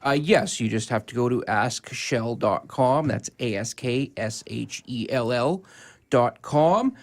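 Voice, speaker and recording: A man's voice: medium pitch, measured pace. A man giving listeners step-by-step information as a radio co-host.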